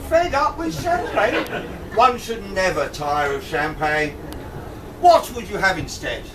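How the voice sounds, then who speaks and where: A man's voice: sits high, very animated, unhurried. Man playing a pompous, wildly swooping royal father. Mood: indignant. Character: pompous royal father who adores champagne. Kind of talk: amateur stage pantomime acting. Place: live pantomime at a tavern. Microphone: distant camera. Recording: distant camera recording, loud audience noise.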